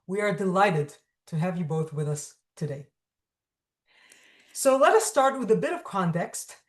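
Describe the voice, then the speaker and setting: A woman's voice: fairly low pitch, lively intonation, a little slow. Woman hosting with formal, articulate delivery. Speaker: host. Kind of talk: scripted introduction, interview hosting.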